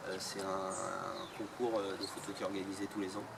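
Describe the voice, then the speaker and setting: A man's voice: sits low, completely flat delivery, fairly quick, gravelly. A man being interviewed about his photojournalism project, answering at length with frequent hesitations. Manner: hesitant, many fillers. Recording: distant mic, loud outdoor noise.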